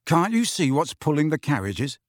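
A man playing an earnest teenage boy hero, urgent and halting in his confusion, in an audiobook. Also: on the high side, big pitch swings, no echo, clean studio sound. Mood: urgent, disbelieving. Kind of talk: audiobook character acting.

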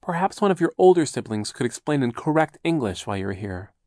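Man doing a fussy older aunt, high fretful voice, scolding, pedantic, dry sound. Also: big pitch swings, a little fast, mellow, no room sound. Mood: fretful, cross. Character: fussy fearful older woman guardian, pedantic about grammar. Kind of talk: audiobook character voice.

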